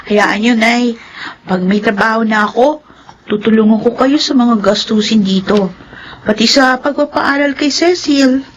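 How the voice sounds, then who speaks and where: A woman's voice: a little high, a little slow, a little hiss or hum, natural voice. A woman as a firm young Filipino daughter, muffled. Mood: caring. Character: principled, dutiful elder daughter, young, frank and firm. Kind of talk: Filipino radio drama acting.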